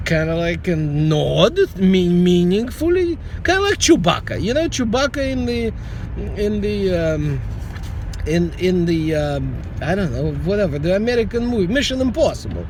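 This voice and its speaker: A man's voice: sits high, lively intonation, moderate pace, a little mellow, slight background noise. A middle-aged man with a Russian accent, a vlogger joking dryly and rambling in a casual monologue while driving his car. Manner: dry joking, rambling with restarts.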